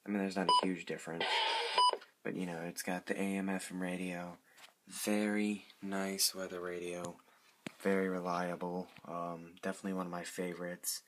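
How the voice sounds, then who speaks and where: Low, monotone man showing his collection. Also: moderate pace, noisy background. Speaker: hobbyist showing a weather radio collection. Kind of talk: casual product show-and-tell.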